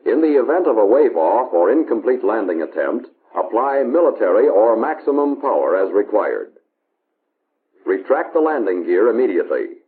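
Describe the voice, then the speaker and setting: A man's voice: lowish voice, big pitch swings. Tinny 1950s American male film narrator. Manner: measured, authoritative, instructional. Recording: tinny band-limited 1950s film soundtrack, hiss.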